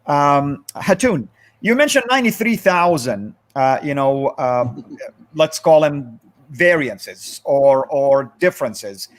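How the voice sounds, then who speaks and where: A man hosting a moderated religious discussion, speaking in halting, deliberate phrases. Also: on the high side, expressive. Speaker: livestream host.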